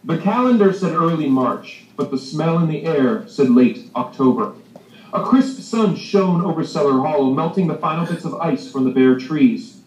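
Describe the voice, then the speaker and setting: Man, children's author, slightly high voice, enthusiastic, talking directly to kids, storybook presenter, roomy video-call sound. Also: expressive, moderate pace, far from the mic. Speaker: children's author presenting his book to a class. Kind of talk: animated school talk and storybook reading. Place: video call played into a school library.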